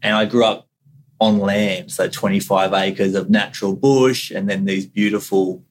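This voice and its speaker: A man's voice: lowish voice, steady tone, measured pace, slight background noise. A man with an Australian accent, a guest on a podcast, talking about his life in a laid-back, easygoing way with casual slang. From New South Wales, Australia. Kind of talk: casual interview chat.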